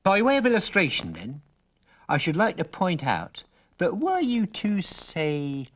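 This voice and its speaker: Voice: mid-range voice, wildly swooping pitch, pitched above the performer's own voice, full character voice. Narrator doing a sly, wheedling old stranger. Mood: boastful. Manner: wordy, drawn-out, showy persuasion.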